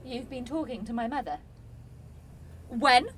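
An actress playing a guarded, blunt young student in a radio drama, speaking in a clipped, defensive way. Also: female voice, fairly high pitch, unhurried, a bit bright, slight background noise. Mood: angry. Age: young adult.

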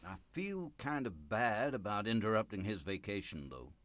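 A low-voiced, quietly sly churchgoer. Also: male voice, very animated, normal speed. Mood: grateful, humble. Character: grateful, humble churchgoer with a quietly sly edge. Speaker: actor in a radio play. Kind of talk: radio drama acting.

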